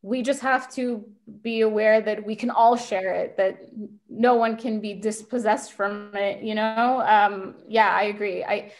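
Thirties woman, video call from home, quick reflective delivery with fillers. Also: medium pitch, fairly even intonation. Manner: quick, thoughtful, with many fillers. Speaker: invited speaker. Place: home kitchen.

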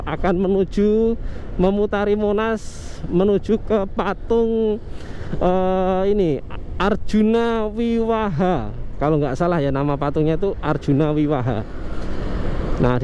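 A man with a fairly high voice chats his way through a motorcycle ride, talking loosely to his viewers with plenty of fillers. He is recorded outdoors on the bike, with faint traffic noise underneath. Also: dark tone, muffled recording. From Indonesia. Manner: chatty, loose, many fillers, keeps addressing viewers. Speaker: motovlogger. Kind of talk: casual running commentary while riding. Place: on a motorcycle on city streets outdoors.

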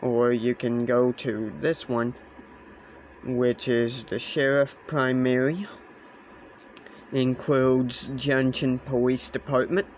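A man giving a casual explainer demonstration in a halting way, often repeating phrases, recorded on a handheld camera indoors. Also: mid-range voice, low-quality recording. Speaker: hobbyist presenter. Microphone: handheld camera or phone. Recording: clear steady background noise, handheld camera.

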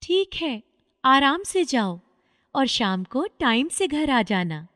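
A woman acts a weary, skeptical older village mother in a cartoon tale. She speaks high and motherly, chiding, with a long reverb around the voice. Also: big pitch swings, takes it slowly, a bit bright, clean studio sound, heavily acted. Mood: caring.

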